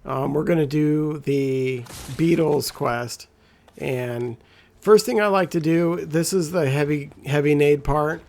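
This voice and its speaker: Guy with a raspy gravelly voice, chill rambling game walkthrough, lots of ums. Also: medium pitch, a little mellow. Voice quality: scratchy, gravelly voice. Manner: laid-back, rambling, lots of fillers. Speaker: gameplay guide host. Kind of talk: casual walkthrough commentary.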